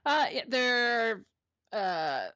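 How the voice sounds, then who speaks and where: A woman's voice: on the high side, big pitch swings, extremely slow, bright, forceful tone, no room sound, muffled recording. A woman in her thirties, a streamer answering interview questions in a casual, hesitant way, with many ums and restarts. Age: 30s. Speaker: streamer guest.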